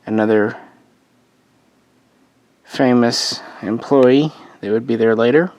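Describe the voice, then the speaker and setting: A man's voice: mid-range voice, slow, a little mellow, no echo. A man losing his voice, casually narrating a history explainer as host, rambling and correcting himself with offhand asides.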